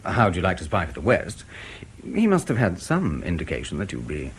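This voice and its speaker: An actor in a radio drama playing a skeptical intelligence interrogator, formal, dry and probing. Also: male voice, deep voice, huge pitch swings, a little fast, slight background noise.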